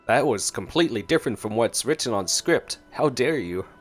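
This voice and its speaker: Guy reacting to a game, playful, medium pitch, game music in the background. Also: lively intonation, brisk, breathy edge. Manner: playful, reads along and talks back to characters. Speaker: gaming streamer. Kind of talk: casual reaction commentary over gameplay.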